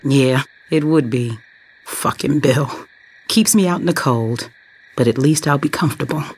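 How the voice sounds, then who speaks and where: A woman's voice: extremely low pitch, normal speed, husky. A woman playing a troubled, remorseful survivor in an emotional video game scene. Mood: grumbling.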